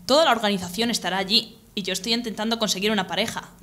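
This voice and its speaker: A woman's voice: medium pitch, steady tone, rapid-fire, fairly bright tone, lightly acted. A woman voicing an eager, nerdy teenage boy in a radio serial, with an accent from Spain. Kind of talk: Spanish radio serial acting.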